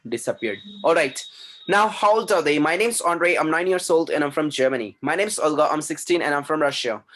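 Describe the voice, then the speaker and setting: A man's voice: fairly high pitch, a bit bright. A man teaching an online English class, reading aloud and correcting students' pronunciation, often repeating his instructions.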